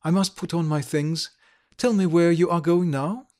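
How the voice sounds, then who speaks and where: A man's voice: on the high side, steady tone, moderate pace, a little mellow, natural voice. A man reading aloud, voicing an agitated young noblewoman who pleads urgently with a guest. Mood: incredulous.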